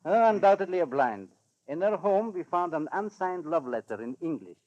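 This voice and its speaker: An actor playing a stern, smugly courteous Balkan government official in 1940s radio drama, speaking formally and with emphasis. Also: male voice, fairly high pitch, animated, a little mellow, natural voice. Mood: stern, insistent. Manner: formal, emphatic, hesitant pauses. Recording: dull old radio recording.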